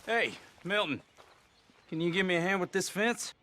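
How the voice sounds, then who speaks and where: A man's voice: sits high, moderate pace, bright, forceful tone, a little hiss or hum. An actor playing a skeptical but friendly ranch foreman in a Western game, folksy and probing. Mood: brisk, friendly. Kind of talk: naturalistic Western game acting.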